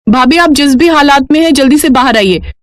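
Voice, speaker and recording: A woman voicing a suspicious, scheming young sister-in-law in a storybook tale, excitable and nagging. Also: high voice, a little fast, no room sound, studio quality, voice pushed up, close to an everyday voice. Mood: urgent. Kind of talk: Hindi moral storybook character voice.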